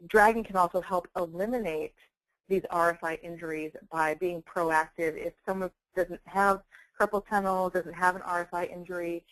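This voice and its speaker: A woman giving a semi-scripted software webinar presentation in an explanatory, conversational manner. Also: fairly low pitch, no echo, rough recording.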